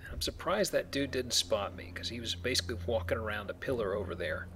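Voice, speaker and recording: A man's voice: mid-range voice, expressive, fast, bright, forceful tone, soft background music, low-quality recording. A man hosting a casual let's play, chatting as he plays a video game. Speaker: video game player and host. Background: video game sounds.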